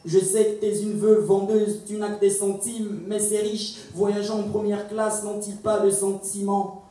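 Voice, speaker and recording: A man's voice: sits high, little pitch movement, unhurried, mellow. A man voicing a sick young girl who pleads plaintively with her mother in a slam poem. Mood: plaintive pleading. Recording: echoey hall, distant microphone.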